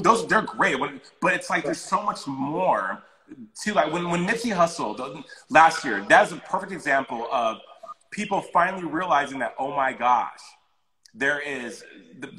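A forty-year-old man with an American accent hosts a casual interview. His voice is bright and fairly high, and it rings with room echo over the video call. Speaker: interview host. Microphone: lapel.

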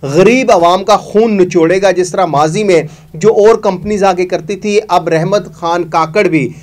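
A man's voice: sits high, unhurried, darkish tone. A man, hosting a news show and giving emphatic, accusatory commentary to camera in a studio. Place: studio with green screen.

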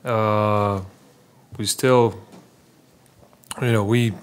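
Low, flat-voiced man at a press conference. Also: clean tone. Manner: halting, repeats words, many fillers. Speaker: basketball player at a postgame press conference. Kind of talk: postgame press conference answers. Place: small press room. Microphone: table mic in front.

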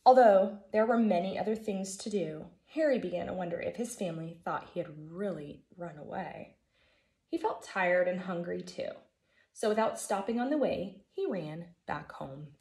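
An American woman in her thirties, a first grade teacher reading a children's storybook aloud in an expressive, emphatic way. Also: lowish voice, moderate pace. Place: living room at home, indoors. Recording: echoey room.

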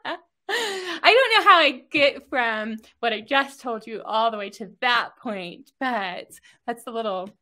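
A middle-aged American woman hosting an interview, chatting and telling stories in an animated way with asides. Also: fairly high pitch, a little slow. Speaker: host interviewing a guest. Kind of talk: conversational interview and personal storytelling. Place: home office, over a video call.

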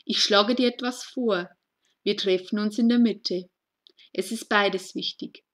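A woman reading her own poem in a slow, deliberate, earnest way, with short phrases set apart by pauses. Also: mid-range voice, fairly even intonation. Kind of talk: spoken poetry reading.

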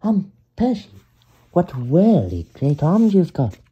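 Man doing a high-pitched, huffy little steam engine. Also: huge pitch swings, slow, muffled recording, full character voice. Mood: friendly. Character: cheeky, proud young male steam engine, excitable, indignant. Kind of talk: children's storybook character voice.

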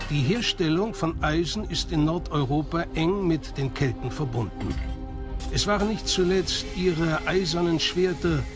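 Male, documentary narrator, fairly high voice, scripted delivery, music clearly behind. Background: background music.

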